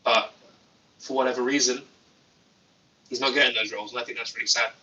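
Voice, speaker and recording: Bright-voiced man chatting on a livestream. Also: medium pitch, brisk, far from the mic, low-quality recording. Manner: deadpan, playful, jokey asides. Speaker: YouTuber livestream host. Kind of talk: casual livestream chat reading comments.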